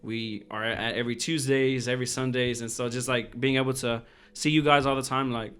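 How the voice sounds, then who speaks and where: A man's voice: mid-range voice, steady tone, fairly quick, fairly bright tone, faint music behind. A man in his twenties co-hosting a podcast, talking casually and earnestly and often repeating his phrases. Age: 20s. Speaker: podcast co-host. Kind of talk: casual personal chat.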